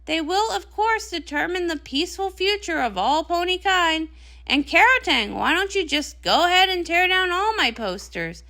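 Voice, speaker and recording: A woman's voice: very high-pitched, sing-song, moderate pace, bright, forceful tone, pitched above the performer's own voice, heavily acted. A woman voicing a dramatic, jealous young pony princess and pop star in a comic read-along, indignant and sarcastic. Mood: sarcastic, upset.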